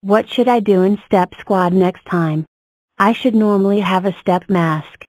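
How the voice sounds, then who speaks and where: A woman's voice: medium pitch, unhurried, darkish tone, no echo, close to an everyday voice, poor audio. A woman voicing an earnest, chatty number block in a children's counting cartoon, with stiff, formulaic delivery.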